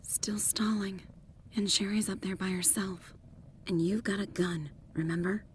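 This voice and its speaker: A woman's voice: medium pitch, steady tone, measured pace, a little hiss or hum, natural voice. A woman voicing a resolute but anxious young woman's inner thoughts in a dramatised audiobook, urgent and self-questioning. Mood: hushed, uneasy.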